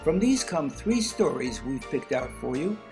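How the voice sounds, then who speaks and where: A woman's voice: low voice, very animated, moderate pace, vocal fry, music in the background. A woman narrating scripted introductions as a presenter, recorded on a video call.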